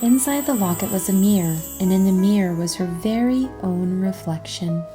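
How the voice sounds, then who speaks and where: A woman narrating a children's storybook aloud in an expressive, careful storytelling manner. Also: mid-range voice, normal speed, faint music behind.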